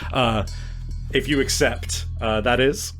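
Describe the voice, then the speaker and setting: A man improvising narration as a tabletop game master in a halting, casual manner with some swearing. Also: medium pitch, fairly even intonation, fairly bright tone, soft background music.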